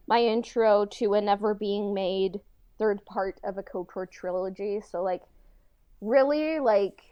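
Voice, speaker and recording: Female podcast co-host, informal and halting, slightly low-pitched, very dry recording. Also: mellow, dry recording. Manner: halting, informal, peppered with "like" and "kinda". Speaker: podcast co-host and audio drama writer. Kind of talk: casual podcast chat.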